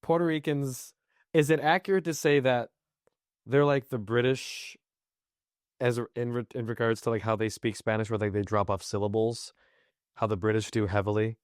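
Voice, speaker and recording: A man's voice: mid-range voice, fairly even intonation, moderate pace, dry recording, studio quality. A man hosting a podcast, asking long, rambling questions in a curious, joking way. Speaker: podcast host. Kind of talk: casual podcast conversation.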